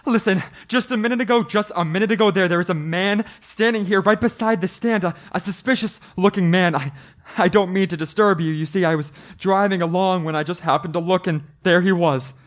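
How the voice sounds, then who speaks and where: An actor playing an anxious motorist who narrates a radio drama earnestly and stammers when flustered. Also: male voice, high voice, brisk, lightly acted. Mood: flustered, pleading. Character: anxious male motorist haunted by a hitchhiker, narrating.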